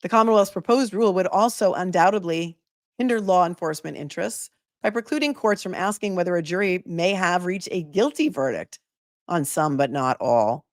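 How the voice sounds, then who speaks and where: A hoarse woman with a lowish voice reads a legal brief aloud in a measured, emphatic way. She is an attorney who hosts a channel. The sound is dry and close. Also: dry recording. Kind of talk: reading a legal brief aloud, then casual chat.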